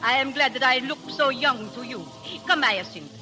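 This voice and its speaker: High-voiced, coy French temptress. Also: female voice, expressive. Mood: smooth, scheming. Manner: coy, halting English with French words. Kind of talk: 1930s radio acting. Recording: old 1930s radio recording, faint music.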